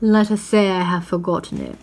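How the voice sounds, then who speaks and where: A woman voicing a majestic male lion king in a storybook reading, grave and calmly authoritative. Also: lowish voice, a little fast.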